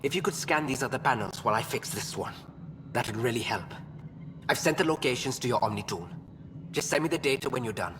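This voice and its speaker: A man playing a wary, halting station technician in a video game. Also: mid-range voice, expressive, natural voice. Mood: anxious, guarded. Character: wary male station technician, paranoid about sabotage. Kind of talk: video game character dialogue. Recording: reverberant hall with noisy ambience.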